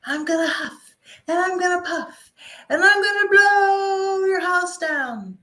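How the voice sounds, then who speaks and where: A menacing storybook wolf, acted out by a woman, chants its threats in a raised, swelling voice. It blusters loudly, building with each phrase like a fairy-tale villain. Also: extremely high pitch, slow pace, voice pushed up, exaggerated voice. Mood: menacing, blustering.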